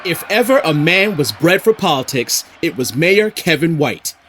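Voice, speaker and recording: A theatrical storyteller in an audio play about city politics. His voice sits fairly high for a man and moves with very lively intonation. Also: normal speed. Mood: wry storytelling. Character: animated male storyteller of Boston political history.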